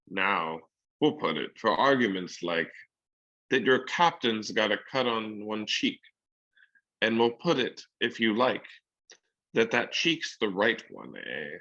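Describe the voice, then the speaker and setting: A man's voice: fairly low pitch, pushed and bright, highs cut off, heavily acted. A man playing a sly, menacing old pirate who coaxes in rustic seafaring talk for a radio drama.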